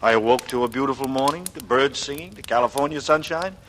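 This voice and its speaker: A man's voice: medium pitch, quick pace, a bit bright, lightly acted. An actor playing a brooding, bitter man with murder hidden behind a casual front, in a 1940s radio drama. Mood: breezy, feigned innocence. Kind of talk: 1940s radio drama acting, first-person narration and dialogue. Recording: noisy old radio broadcast recording.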